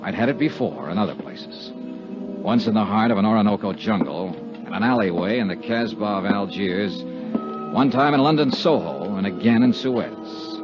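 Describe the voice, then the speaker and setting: A man's voice: on the low side, animated, moderate pace, natural voice. An American man playing a tough, wisecracking insurance investigator who narrates his case in a wry, clipped 1950s radio detective style. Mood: brooding, watchful. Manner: wry, clipped, hard-boiled. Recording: dull 1950s radio recording with music.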